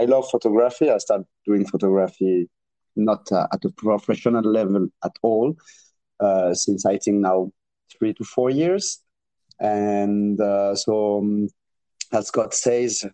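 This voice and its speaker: A man's voice: on the low side, dark tone, no echo, rough recording. Hesitant young man with a French accent. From Democratic Republic of Congo. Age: 20s. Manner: hesitant, searching for words, many restarts. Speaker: guest. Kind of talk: casual interview.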